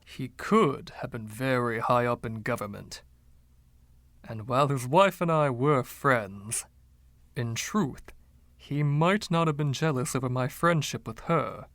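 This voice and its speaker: A man's voice: mid-range voice, a little slow, fairly bright tone, dry recording. A man voicing a boastful, giggly 1920s dandy in a storybook reading, with a silky voice and theatrical, teasing old-fashioned slang. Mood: coy, teasing.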